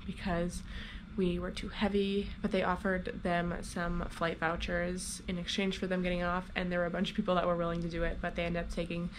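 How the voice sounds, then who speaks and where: Young woman, stuffy with a cold, chatty vlog, filler-heavy, lowish voice, noisy hotel-room recording. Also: completely flat delivery, a little fast. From United States. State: congested with a cold. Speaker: flight attendant vlogger. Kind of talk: casual vlog to camera. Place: hotel rooms on layovers.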